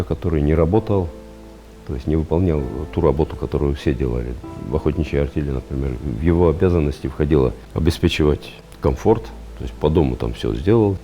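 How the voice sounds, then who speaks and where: Really deep bassy guy explaining stuff in an interview, faint music behind. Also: warm tone. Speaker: interviewed folklore expert.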